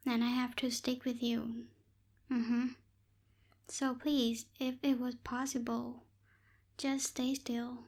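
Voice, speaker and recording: A young woman voicing a bossy but secretly caring tsundere witch in a close-miked ASMR roleplay, softly scolding and a little hesitant. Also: a little high. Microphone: close binaural microphone.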